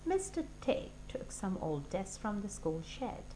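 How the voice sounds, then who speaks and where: A woman teacher reading a children's storybook aloud in a deliberate, expressive way for young listeners. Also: medium pitch, a little hiss or hum.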